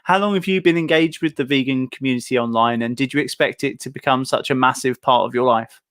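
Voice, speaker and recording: A man's voice: medium pitch, fast talker, a bit bright, no echo, clean studio sound. A young man hosting a casual video-call interview, enthusiastic and chatty, with many fillers and restarts. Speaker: host.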